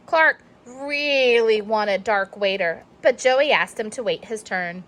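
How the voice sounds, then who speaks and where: A woman reading a children's storybook aloud in an expressive narrating style. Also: a little high, slow. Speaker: storybook reader.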